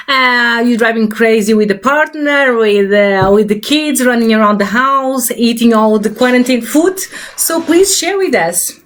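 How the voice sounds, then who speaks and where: A woman with a strong Portuguese accent hosts a casual live stream as a psychic medium, chatting and greeting viewers by name. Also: fairly high pitch.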